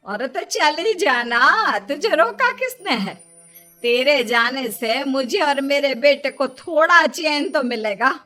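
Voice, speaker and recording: A woman playing a sharp-tongued older mother-in-law who scolds and taunts in a children's cartoon. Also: high-pitched, animated, takes it slowly, soft background music, exaggerated voice. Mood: indignant. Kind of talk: Hindi children's cartoon dialogue.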